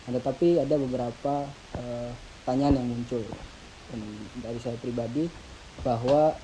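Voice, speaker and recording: A man interviewing a guest, asking formal, measured questions. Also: medium pitch, steady tone, noisy background. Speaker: interviewer, organization president.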